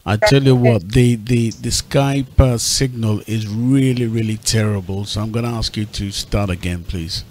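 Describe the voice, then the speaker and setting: A man hosting an informal radio talk show in a polite, measured manner. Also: on the low side, a little mellow.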